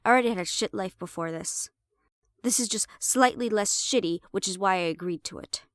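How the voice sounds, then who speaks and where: A woman's voice: medium pitch, fairly quick, dry recording, lightly acted. Sassy siren hybrid woman, close dry sound, acted. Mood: bitter, defensive. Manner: sassy, sweary, conversational, slipping into Spanish. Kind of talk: roleplay audio drama acting.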